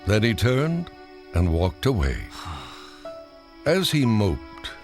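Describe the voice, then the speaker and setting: A man's voice: lowish voice, wildly swooping pitch, extremely slow, darkish tone. Male storybook narrator over music. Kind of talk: scripted children's read-along story narration.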